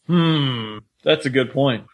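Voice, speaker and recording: A man's voice: medium pitch, takes it slowly. A man with a mid-range voice co-hosts a podcast. His delivery is casual chat, conversational and unscripted. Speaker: podcast co-host.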